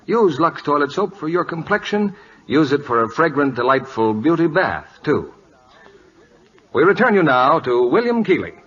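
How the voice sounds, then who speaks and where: A man's voice: fairly high pitch, a bit bright. A man announcing on 1940s radio with formal, scripted sales patter. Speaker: commercial announcer. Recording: muffled old-time radio recording.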